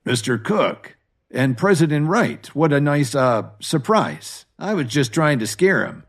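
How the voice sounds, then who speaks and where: Cowardly bully of a manager acted by a male narrator, animated pitch, music underneath. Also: measured pace. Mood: nervous grovelling. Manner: blustering, obsequious to superiors. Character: balding bully husband, cowardly grovelling manager. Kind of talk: audio drama character voice.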